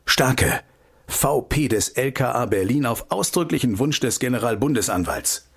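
A man's voice: mid-range voice, expressive, normal speed, creaky, husky. An actor playing an investigative researcher in a radio play, terse, urgent and matter-of-fact as he lays out facts. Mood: urgent, insistent. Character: male investigative researcher briefing a contact, urgent and knowing. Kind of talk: radio drama dialogue.

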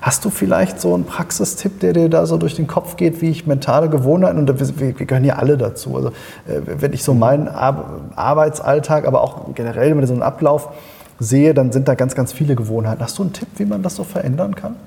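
Interview host, man, dark gravelly voice, reverberant room, asks long framing questions. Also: a little high, fast. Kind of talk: podcast interview.

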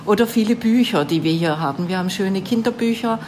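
A woman's voice: medium pitch, fast talker, darkish tone, slight background noise. A woman from Baden-Württemberg with a Swabian accent, a shop owner answering interview questions hesitantly with many fillers.

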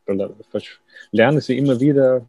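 A man's voice: medium pitch, moderate pace, mellow, dry recording. A man, a writer thinking aloud in a thoughtful, self-deprecating way as a radio interview guest.

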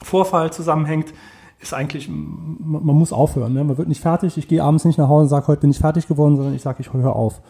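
A man in his forties from the Saarland speaks in a dark, gravelly voice that sits a little high for a man. He answers interview questions casually, stopping often for fillers and restarting his sentences. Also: steady tone, fast. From Saarland, Germany. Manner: hesitant, many fillers and restarts. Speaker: entrepreneur, interview guest.

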